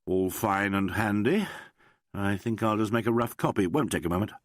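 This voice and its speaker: A man voicing an urbane gentleman detective who talks quietly in a musing, wry way. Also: lowish voice, moderate pace, no echo, studio quality, close to an everyday voice.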